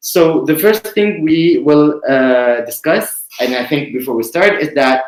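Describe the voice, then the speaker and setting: A man from Tunisia hosting an online presentation, explaining in a measured way with frequent pauses. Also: fairly high pitch. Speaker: webinar host, committee director. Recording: roomy echo.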